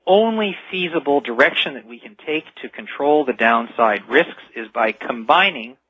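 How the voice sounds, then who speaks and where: A man explaining things as a radio interview guest over the telephone, earnest and deliberate, stressing key words. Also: medium pitch, animated, slightly rough, dry recording. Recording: dull, band-limited telephone line.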